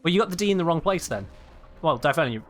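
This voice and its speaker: A man's voice: on the high side, expressive, racing, soft background music. A British man chatting casually while he livestreams a video game, rambling and correcting himself as he goes. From United Kingdom. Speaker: livestream gamer. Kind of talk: casual chat while gaming.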